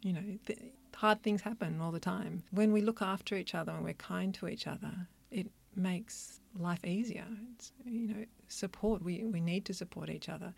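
A woman who practises Chinese medicine, answering interview questions in an earnest, thoughtful, soft-spoken way. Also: low-pitched, vocal fry. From Australia, country Victoria.

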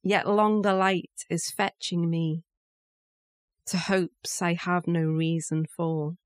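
A woman reciting a poem in a measured manner, pausing between short phrases. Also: lowish voice, little pitch movement, no echo. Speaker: poetry reader. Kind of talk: poetry recitation.

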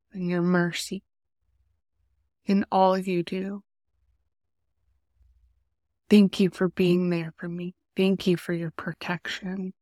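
Congested, nasal woman speaking slowly. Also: lowish voice, no room sound, clean studio sound. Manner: soft, unhurried, earnest. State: congested from a cold. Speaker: podcast host. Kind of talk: faith podcast talk and prayer. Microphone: close podcast mic.

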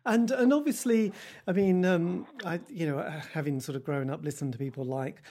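A man's voice: on the high side, moderate pace, mellow. A man hosting a radio show, talking in a casual, rambling way with many ums and self-corrections.

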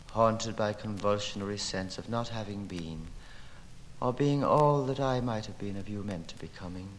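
A man's voice: fairly low pitch, close to an everyday voice. Guy doing a grandiose lyrical literary narrator, declaiming like an incantation, big echoey hall sound, noisy old record. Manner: declamatory, incantatory, dramatic swells and hushed endings. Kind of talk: theatrical literary recitation from a record.

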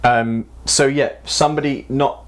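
A man's voice: mid-range voice, steady tone. Effusive male podcast host. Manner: effusive, heaps praise, unhurried delivery. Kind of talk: casual interview chat.

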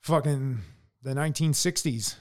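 A man's voice: mid-range voice, moderate pace. A man co-hosting a podcast, talking bluntly with lots of swearing and stammered restarts in a casual opinion chat. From United States. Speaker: podcast co-host.

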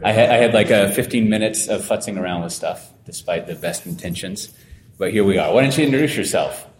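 Low-voiced male podcast host, echoey room. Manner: easygoing, chatty interviewer with quick affirmations. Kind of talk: casual interview. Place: conference venue. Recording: echoey room with faint rumble.

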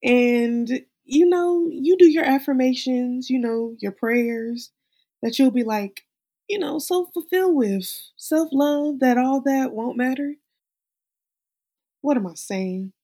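A woman performs an anxious, exasperated actress in a confessional stage monologue. Her voice is somewhat high and mellow, with a husky edge. She is halting and emphatic, full of self-loathing. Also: lively intonation, heavily acted. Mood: bitter, self-mocking. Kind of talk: dramatic monologue reading.

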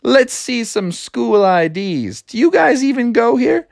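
A woman voicing a stern male school principal, speaking slowly and deliberately. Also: on the high side, very animated, gravelly, a little mellow, no room sound, studio quality, pitched above the performer's own voice, heavily acted.

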